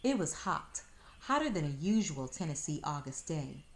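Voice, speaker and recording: A woman reading a children's story aloud in expressive scripted narration. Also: sits low, moderate pace. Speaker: storybook reader.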